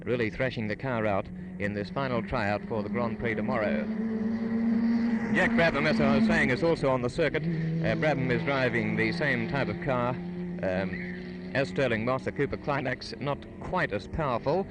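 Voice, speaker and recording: A man's voice: mid-range voice, normal speed. A man giving formal, hesitant live motor racing commentary and interviews in the manner of 1950s radio. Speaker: radio sports broadcaster. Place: outdoors trackside at a motor racing circuit. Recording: noisy 1958 outdoor radio broadcast. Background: racing cars and engine noise.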